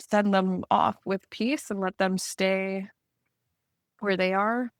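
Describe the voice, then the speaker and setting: A woman's voice: medium pitch, fairly even intonation, slow, clear, resonant tone, no room sound, studio quality. A woman from Canada talking candidly and casually as a client in a healing session, rambling and self-reflective, often saying "like". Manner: informal, rambling, self-reflective, with frequent 'like'. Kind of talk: candid, casual therapeutic conversation.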